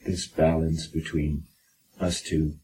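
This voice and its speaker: A man and musician calmly explaining ideas in an interview, with filler pauses. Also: extremely low pitch, slightly rough, recorded from a distance. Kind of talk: casual interview answers.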